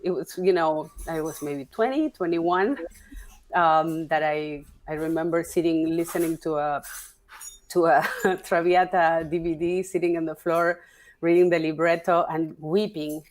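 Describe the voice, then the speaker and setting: A woman, a guest talking through her career in a relaxed interview, pausing and restarting as she thinks. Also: low-pitched, a little hiss or hum. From Argentina. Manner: halting, restarts often, thinking aloud. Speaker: guest, opera singer and stage director. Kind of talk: casual interview conversation.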